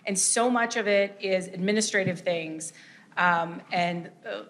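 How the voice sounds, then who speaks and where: A woman's voice: medium pitch, measured pace, fairly bright tone. A woman clinician on a panel, working through a point in long, thoughtful sentences. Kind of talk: panel discussion remarks.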